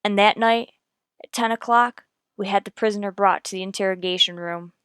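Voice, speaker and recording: A woman's voice: medium pitch, steady tone, brisk, fairly bright tone, clean tone, dry recording, natural voice. A woman playing a no-nonsense, methodical police detective in a radio drama, clipped and matter-of-fact. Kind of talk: old-time radio police drama, scripted narration and dialogue.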